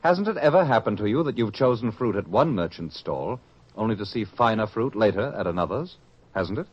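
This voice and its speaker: A man's voice: on the low side, expressive, brisk, slightly rough. A man playing a proud, argumentative disciple in a radio drama, speaking in a questioning, oratorical way. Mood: proud, arguing. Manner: questioning, self-assured, oratorical. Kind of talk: old-fashioned radio drama acting. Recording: dull lo-fi radio drama recording.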